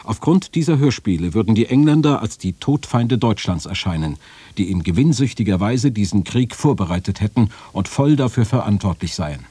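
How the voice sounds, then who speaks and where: A man reading documents and quotations formally for a radio feature, in a measured, official-sounding way. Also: lowish voice, animated, dark tone, husky, no room sound.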